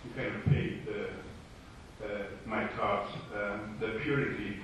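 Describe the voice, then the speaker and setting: Romanian-accented man, slow and searching for words, distant echoey room. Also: fairly low pitch, steady tone, noisy background. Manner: hesitant, searching for English words. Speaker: participant. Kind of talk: group Bible study discussion. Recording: echoey room, far from the mic.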